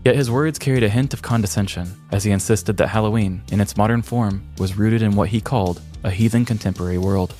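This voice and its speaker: A man's voice: fairly low pitch, quick pace, few pauses, warm tone, soft background music. A man co-hosting a podcast, speaking in a storytelling and conversational style. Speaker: podcast co-host. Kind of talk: scripted story narration and casual banter.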